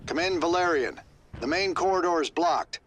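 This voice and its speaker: An actor playing a weathered male rebel commander in a video game, gravelly and laconic with a drawl. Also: fairly high pitch, normal speed, noisy background, natural voice. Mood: businesslike.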